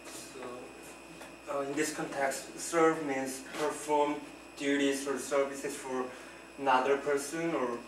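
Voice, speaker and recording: A man's voice: mid-range voice, steady tone, slow pace. A young man with a Korean accent presents vocabulary to his class, hesitant and nervous as he speaks. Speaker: student presenter. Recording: roomy, distant, noisy classroom.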